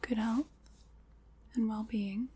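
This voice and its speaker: Whispering witch played by a woman, wry and unhurried. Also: on the high side, steady tone, heavily acted. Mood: wry, musing. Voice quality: soft, breathy whispering. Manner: unhurried, musing, dryly teasing. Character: a female witch casting a ritual, wry, faintly condescending. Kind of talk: ASMR roleplay.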